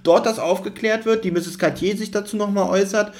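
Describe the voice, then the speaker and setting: Higher-pitched man in critical, casual discussion. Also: steady tone, a little fast, a little mellow. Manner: critical, argumentative. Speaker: podcast host. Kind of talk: casual opinionated review chat.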